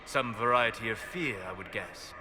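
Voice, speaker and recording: A man voicing a calm, scholarly mage in a fantasy game, speaking in a measured, erudite, formal way. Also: on the low side, noisy background, natural voice. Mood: fascinated, measured. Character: calm scholarly male mage, fascinated by the dream world.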